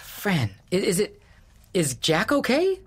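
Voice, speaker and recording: An actor playing a bewildered husband woken in the middle of the night, talking in a flustered, stammering way and swearing when startled. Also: male voice, high voice, huge pitch swings, slow, slightly rough, heavily acted. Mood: alarmed. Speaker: husband Jim. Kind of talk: audio drama acting.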